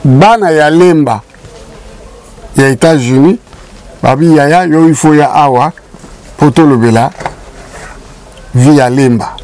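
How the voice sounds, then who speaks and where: A man's voice: a little high, takes it slowly, pauses often, a little mellow, poor audio. An older man from Congo Kinshasa, seated in a small indoor studio, telling community history in emphatic casual talk. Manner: emphatic, mixes French and Lingala. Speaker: show guest and narrator of community history. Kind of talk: casual studio chat, storytelling.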